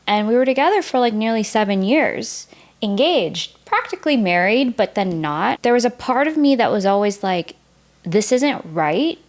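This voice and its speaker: A woman's voice: on the high side, very animated, moderate pace. Candid young woman sharing her story. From United States. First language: Vietnamese. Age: early 30s. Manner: open and reflective, casual filler "like". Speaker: podcast guest. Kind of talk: candid personal storytelling in conversation.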